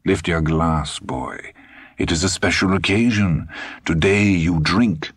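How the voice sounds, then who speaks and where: A man voicing a cruel old man, speaking slowly with mocking, menacing politeness. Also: sits low, husky, dry recording, heavily acted. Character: sly, cruel old German man in a bathrobe.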